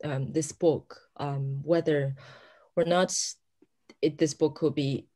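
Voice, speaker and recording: A woman whose first language is Chinese, answering as a guest author in a halting way with many fillers. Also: sits low, fairly even intonation, mellow. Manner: hesitant, many fillers and restarts.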